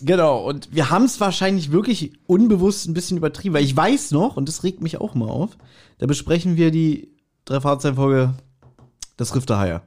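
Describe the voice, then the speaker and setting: A man with a fairly high-pitched voice hosts a casual podcast. He talks in long, rambling anecdotes, delivered with mock grievance as easy conversational chat. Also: animated, a little fast, a little mellow. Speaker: podcast host.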